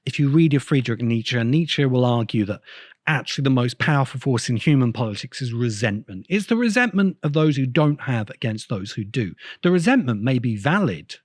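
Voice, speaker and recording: Male essayist host, mid pitch but very animated melody, dry close sound, halting delivery with stressed key words. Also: dry recording. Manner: hesitant, halting phrasing with repeated words and emphatic stresses. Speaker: host of video essay podcast. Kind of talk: video essay commentary, semi-improvised lecture.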